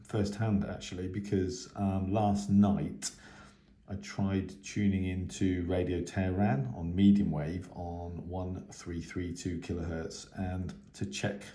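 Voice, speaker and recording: A man giving a casual, unscripted radio hobby news update in a hesitant, halting way. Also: low voice, mellow. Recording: echoey, roomy sound.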